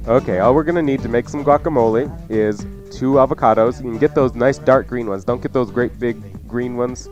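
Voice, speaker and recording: A man's voice: mid-range voice, a little fast, few pauses, a little mellow, soft background music. Folksy male radio chef, dull lo-fi sound. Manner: folksy, jokey, informal. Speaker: cooking show host and chef. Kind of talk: casual radio cooking instruction.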